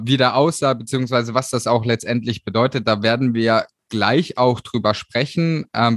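A man's voice: medium pitch, fairly bright tone, no echo, clean studio sound. A man interviewing a guest on his podcast, enthusiastic and casual, often rephrasing himself with fillers.

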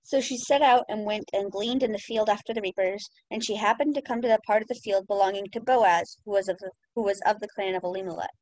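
Young woman reading scripture aloud quickly. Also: medium pitch, darkish tone, rough recording.

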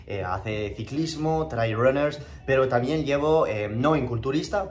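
A man in his thirties who grew up in France, speaking Spanish with a French accent as a keto diet coach on a live stream, casual and rambling with many fillers and restarts. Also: mid-range voice. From southern France, Spanish parents.

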